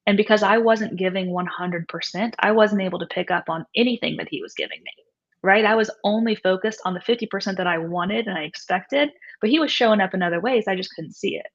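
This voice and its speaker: Woman in a muffled lo-fi recording. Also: mid-range voice. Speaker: author and coach, interview guest. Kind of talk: casual interview talk.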